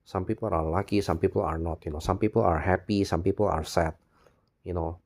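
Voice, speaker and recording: A man who is a non-native English speaker, giving a spontaneous, rambling monologue as a video blogger, halting and often repeating his words. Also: sits low, steady tone, darkish tone. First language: not English. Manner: repetitive, halting, restarts words and phrases often.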